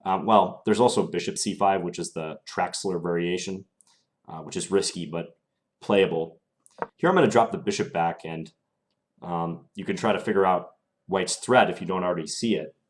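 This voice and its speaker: A young man streaming live, commentating his chess games and answering viewer questions in a relaxed, thinking-aloud way, his voice slightly strained. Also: low-pitched, moderate pace, slight background noise.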